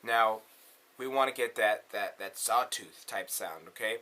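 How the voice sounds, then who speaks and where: A man's voice: on the low side, a little slow, sharp tone. A man presenting a casual step-by-step synthesizer tutorial in an instructional way, often checking in with the listener.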